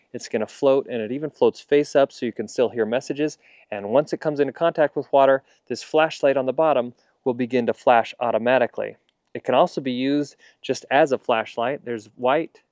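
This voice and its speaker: An American man in his thirties presents a casual gear review in a smooth, clear voice. He explains things conversationally, and the sound is dry and close, with little room echo. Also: mid-range voice, a little mellow. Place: outdoors in a leafy backyard.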